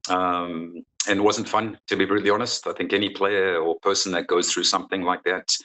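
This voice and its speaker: Casting: low, forceful-toned man from South Africa, a coach in his forties, frank and halting. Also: level tone, rough recording. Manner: earnest, frank, halting with restarts. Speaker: football coach. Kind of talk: candid interview answers.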